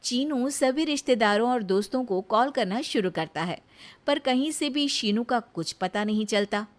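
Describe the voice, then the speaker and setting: A woman's voice: a little high, expressive, normal speed. A woman giving scripted narration of a cartoon story as its narrator.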